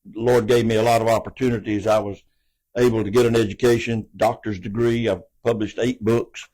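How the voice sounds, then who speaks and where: Earnest older man, low voice. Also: flat delivery, fairly quick, a little mellow, poor audio. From American South. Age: 80s. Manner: earnest, folksy, repeats words often. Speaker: city council candidate. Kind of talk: interview answers.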